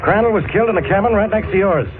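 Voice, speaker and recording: An actor playing a gruff, irritable police inspector who demands answers suspiciously, in 1940s radio drama style. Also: male voice, high voice, rapid-fire, faint music behind, close to an everyday voice. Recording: noisy, tinny 1940s radio recording.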